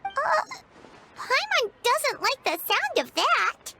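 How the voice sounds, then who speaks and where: A woman voicing a tiny floating girl companion in a video game, bubbly and excitable, talking about herself in the third person. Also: very high-pitched, takes it slowly, vocal fry, very noisy, exaggerated voice. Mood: uneasy. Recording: game audio with music behind.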